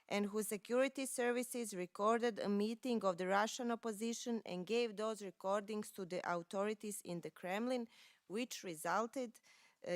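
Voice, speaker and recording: A woman's voice: mid-range voice, dry recording. A woman from Serbia, a native Serbian speaker with a Serbian accent, delivering a prepared political speech.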